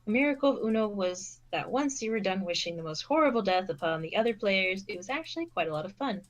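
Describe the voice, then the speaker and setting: A woman's voice: medium pitch, animated, quick pace. A woman giving scripted narration as the narrator of an amateur fan fiction reading.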